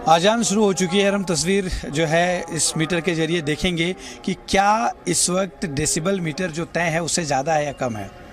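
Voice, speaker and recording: A man's voice: a little high, moderate pace, music in the background. A man reporting news on location outdoors, explaining things as he goes, with city traffic noise around him. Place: outdoors in busy city streets. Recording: noisy outdoor street ambience, traffic. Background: urban street noise and vehicles.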